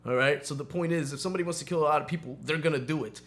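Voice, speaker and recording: A man's voice: fairly high pitch, very fast, fairly bright tone. A man co-hosting a radio show, talking casually about politics in a profane, sarcastic, opinionated way. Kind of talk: casual political rant and chat.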